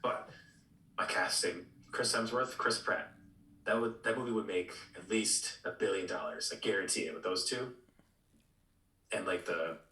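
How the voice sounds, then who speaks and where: A man co-hosting a podcast, giving opinions in casual chat with halting, repeated words. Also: deep voice, sharp tone. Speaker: podcast co-host. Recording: echoey room, distant mic.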